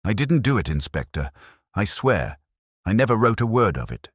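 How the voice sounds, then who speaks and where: A man's voice: on the low side, very animated, moderate pace, breathy edge, dry recording, studio quality, voice pushed up. A man voicing a frantic, nervous male treasurer in an audiobook, pleading and agitated. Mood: panicked pleading. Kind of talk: audiobook character dialogue.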